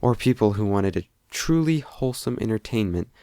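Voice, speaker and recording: A man's voice: fairly low pitch, expressive, normal speed, warm tone, no room sound, studio quality. A man reading scripted narration as the narrator of a documentary.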